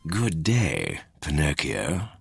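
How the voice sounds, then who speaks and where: A man's voice: a bass voice, wildly swooping pitch, slow pace, dry recording, clean studio sound, faint music behind, voice pushed down, heavily acted. A man voicing a sly, persuasive fox swindler in a storybook. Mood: greeting. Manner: smooth-talking, persuasive.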